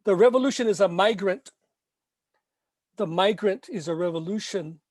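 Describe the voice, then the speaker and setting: A man reading his own protest poem in a slow, emphatic, chanting way, after brief spoken remarks with some hesitation. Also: high-pitched, darkish tone, no echo. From Mexico. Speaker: poet. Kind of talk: poetry reading.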